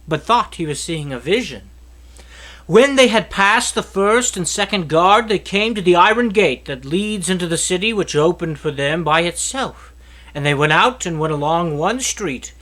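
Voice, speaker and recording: A man reading scripture aloud as narration, with an expressive, emphatic storytelling delivery. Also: high-pitched. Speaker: Bible reader.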